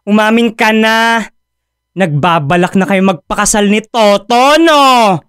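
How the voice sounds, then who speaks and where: A woman's voice: medium pitch, a little slow, clean tone, no room sound, studio quality, close to an everyday voice. An actress playing a bubbly, teasing, gossipy young woman in a Filipino radio drama, sassy and full of slang.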